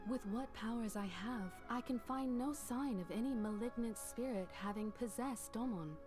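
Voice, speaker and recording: An actress playing a composed, cautious shrine exorcist in a game, speaking with formal, careful wording. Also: female voice, mid-range voice, a little mellow, close to an everyday voice.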